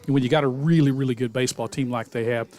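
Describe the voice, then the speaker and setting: A folksy man talks high school football on local radio, ambling through his points with plenty of "uh"s and restarts. His voice sits in a plain middle register. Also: fairly even intonation, racing, a little mellow. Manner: folksy, rambling, many fillers and restarts. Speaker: radio color commentator. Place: press box at a high school stadium.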